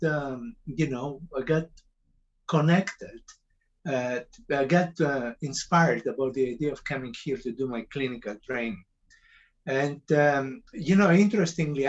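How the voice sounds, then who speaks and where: Older Greek-accented man, dull lo-fi audio. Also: on the high side. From Greece. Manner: hesitant, halting phrasing. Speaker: panelist, cancer center director. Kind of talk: informal personal storytelling.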